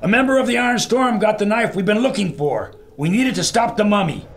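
Male, plucky pulp adventurer acted, high and lively with a gravelly creak, noisy scene ambience. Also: a little fast. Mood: urgent. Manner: bold, folksy exclamations. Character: brash, plucky young adventurer hero. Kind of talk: old-time pulp radio drama acting.

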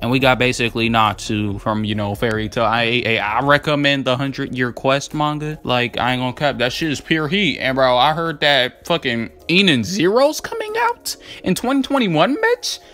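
A man's voice: medium pitch, expressive, moderate pace, hardly any pauses, bright, forceful tone, faint music behind. A young man giving casual, unscripted commentary as a video creator, rambling in a slangy, profane, hyped and emphatic way. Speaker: video creator debating anime battle matchups.

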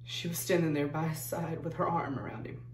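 Actress as a plain folksy woman grieving, halting dramatic monologue, haunted, roomy sound from a bit away. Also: low voice, steady tone, slight background noise, lightly acted. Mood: subdued, wistful. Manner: subdued, halting, folksy storytelling. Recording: echoey room, distant microphone.

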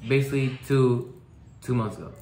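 Male vlog co-host, everyday mid-pitched voice, loose bantering storytelling, captured in an echoey room. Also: fairly quick, darkish tone. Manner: informal, bantering, repeats himself. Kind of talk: casual chat, storytelling. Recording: echoey room, faint background noise.

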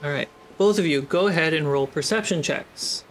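A man's voice: a little high, normal speed, a bit bright. A man narrating a tabletop role-playing game casually as game master. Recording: faint background noise.

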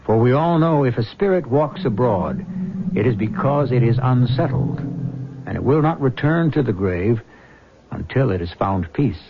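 A man's voice: mid-range voice, lively intonation, unhurried, slight background noise. A man hosting a radio mystery drama, giving theatrical, ominous scripted narration. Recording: dull lo-fi old radio broadcast.

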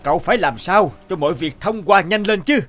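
Bossy middle-aged cartoon executive. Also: male voice, high voice, animated, a little fast, fairly bright tone, faint music behind. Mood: angry, impatient. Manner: demanding, brusque. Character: impatient, bossy middle-aged male factory boss. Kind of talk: animated cartoon dialogue.

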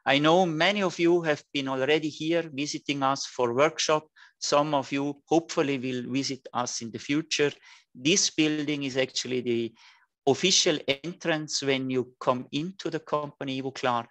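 A man in his fifties from Liechtenstein, a German speaker with a Liechtenstein accent, giving a friendly online lecture as a dental technician educator in slightly halting non-native phrasing over a video call. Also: on the high side, fairly even intonation, a bit bright, no echo. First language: German (Liechtenstein dialect).

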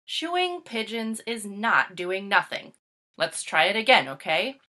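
An excitable storybook boy, played by a woman, bossy and full of schemes. The voice is bright and swings widely, with dramatic, emphatic declarations. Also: mid-range voice, a little slow, heavily acted. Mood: coaxing, bossy. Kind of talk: animated picture-book character reading.

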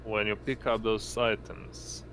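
A man improvising narration as game master of a tabletop roleplaying game, speaking haltingly in short phrases. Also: on the low side, fairly even intonation, gravelly, a bit bright, a little hiss or hum, poor audio. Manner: halting, pieces descriptions together in short phrases.

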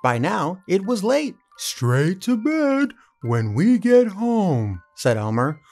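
A man reading a children's picture book aloud, playful and animated. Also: high voice, slow, faint music behind. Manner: animated, playful voices for characters. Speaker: puppet show host reading aloud.

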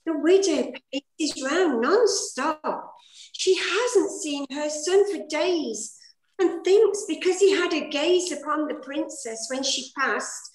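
A woman narrates a rhyming pantomime script at an unhurried pace. Her high voice rises and falls in a lively way, in an echoey room on a video call. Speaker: director and fairy narrator. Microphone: computer mic on video call.

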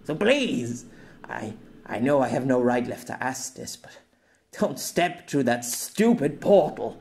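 A man's voice: mid-range voice, very animated, a little slow, a little mellow. A man voicing a lonely, reclusive man who pleads while crying and stammering. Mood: pleading. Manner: desperate, stammering.

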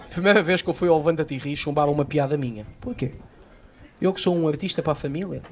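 A man in his thirties with a European Portuguese accent, doing a live stand-up routine on a small outdoor stage at night. He tells animated stories into a handheld microphone and acts out the voices he quotes. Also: on the high side, a little hiss or hum. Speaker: stand-up comedian.

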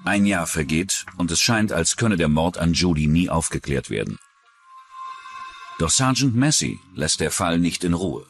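A man's voice: low-pitched, very animated, a little slow, soft background music. A man reading scripted true-crime documentary narration as the narrator.

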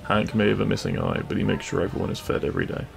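A man playing a cheeky, kind-hearted bandit prince in an intimate audio roleplay, with a gravelly voice and a teasing, reassuring manner. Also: sits low, flat delivery, racing, a little hiss or hum. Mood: cheerful.

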